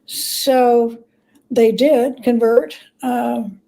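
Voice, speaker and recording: A woman talking as an interview guest, telling stories in a halting, reflective way with repeated words. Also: a little high, steady tone, gravelly, mellow, poor audio. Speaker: guest author and biographer. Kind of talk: conversational interview storytelling.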